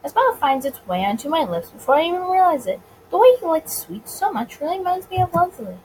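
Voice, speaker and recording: A woman's voice: extremely high pitch, big pitch swings, quick pace, a little mellow, slight background noise, poor audio. A woman reading visual novel narration aloud expressively, sometimes stumbling on words and rereading them. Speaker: video game let's player.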